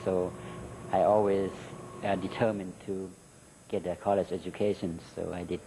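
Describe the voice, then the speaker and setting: A middle-aged man with a Thai accent, Thai his first language, answering interview questions in halting English with many fillers. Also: low voice, flat delivery, background noise. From Thailand. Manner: halting, many "uh" and "you know" fillers, laughs between answers. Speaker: interview guest. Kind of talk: recorded interview.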